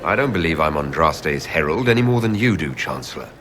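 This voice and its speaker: An actor playing a cool, restrained, dryly witty hero in a fantasy video game. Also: male voice, extremely low pitch, very animated, fairly quick, a bit bright, a little hiss or hum, heavily acted. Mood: cool, wry. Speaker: player character in a game.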